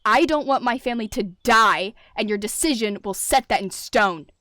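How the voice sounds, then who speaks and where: A woman's voice: fairly high pitch, normal speed, fairly bright tone, dry recording, clean studio sound, lightly acted. A woman voicing a hot-tempered, ambitious male warrior cat deputy with a snarling, commanding manner in an animal roleplay story. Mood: furious.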